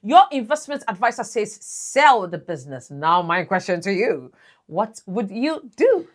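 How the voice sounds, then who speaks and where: A woman's voice: mid-range voice, sing-song, measured pace. A woman hosting a personal finance channel, talking earnestly to her viewers and asking them what they would do. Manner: earnest, preachy, asks the viewer questions. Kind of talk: solo talk to camera.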